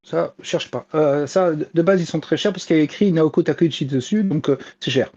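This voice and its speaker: A man's voice: a little high, brisk, warm tone, highs cut off. A man chatting casually as a panelist reporting news on a talk show, hesitant and searching for words. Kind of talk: casual chat.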